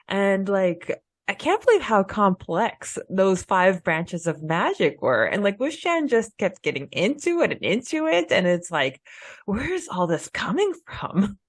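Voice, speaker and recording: A woman co-hosting a podcast in casual fan discussion, halting as she thinks aloud. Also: medium pitch, big pitch swings, no echo. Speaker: podcast co-host.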